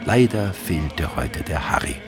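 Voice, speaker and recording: A very low man's voice narrates a comic radio drama with dry irony. His pitch moves in lively swings, with music playing behind him. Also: measured pace. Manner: dry, ironic. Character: wry male narrator of a comic crime story.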